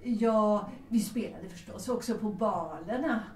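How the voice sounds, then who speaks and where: An actress playing a proud, unsentimental middle-aged widow of good family in a period audio drama, speaking formally. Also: female voice, mid-range voice, darkish tone, natural voice. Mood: proud, reminiscing. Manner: formal, dignified, matter-of-fact. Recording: roomy, distant microphone.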